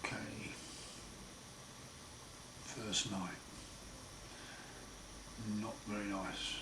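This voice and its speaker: A man with a croaky, hoarse voice after throat surgery, talking slowly and wryly in a casual home video diary. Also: lowish voice, little pitch movement. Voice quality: hoarse, partly breathy. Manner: slow, wry, plain-spoken, often pausing. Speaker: patient sharing his recovery. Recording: roomy echo, far mic, noisy.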